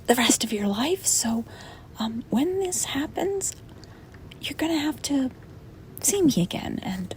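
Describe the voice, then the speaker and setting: A woman playing a reluctant, apologetic sleep paralysis demon in a soft, hesitant, breathy ASMR whisper. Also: on the high side. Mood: nervous, wistful. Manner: hesitant, rambling, soft-spoken. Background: rain and wind.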